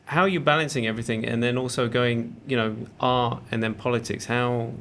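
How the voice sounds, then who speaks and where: A man's voice: medium pitch, steady tone, a little fast, a bit bright, a little hiss or hum. A man interviewing a guest, attentive, with short affirmations and questions. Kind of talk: interview conversation.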